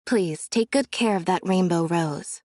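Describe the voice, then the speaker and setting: A woman playing a calm, dry-spoken young woman in a video game. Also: lowish voice, measured pace, natural voice.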